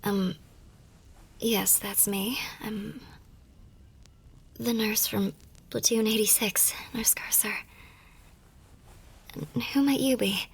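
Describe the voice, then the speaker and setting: A woman playing a tender, wistful young army nurse in an ASMR romance roleplay, with a soft, breathy voice that often drops toward a whisper and an emotive delivery. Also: medium pitch, steady tone, takes it slowly, long pauses, full character voice. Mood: cautious, questioning. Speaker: voice actor in a romance audio roleplay. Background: music.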